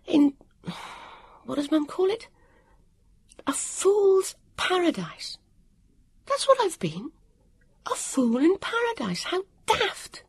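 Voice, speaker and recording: A narrator voicing Vivian's part in an audiobook, an anxious, excitable young girl who speaks in a breathless, halting way. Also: medium pitch, breathy edge, no echo. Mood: panting, dismayed.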